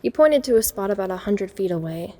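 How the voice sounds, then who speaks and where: Woman as young female narrator, watchful, mellow tone, medium pitch, first-person audio drama storytelling. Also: expressive, fairly quick, close to an everyday voice. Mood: measured, suspenseful. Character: watchful young woman recounting a night break-in.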